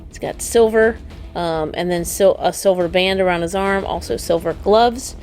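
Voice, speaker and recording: A woman's voice: lowish voice, moderate pace, a little mellow, soft background music. A woman co-hosting a casual unboxing show, chatty and enthusiastic as she points out details. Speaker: co-host of a toy unboxing show. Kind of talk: casual product show-and-tell. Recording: faint background noise.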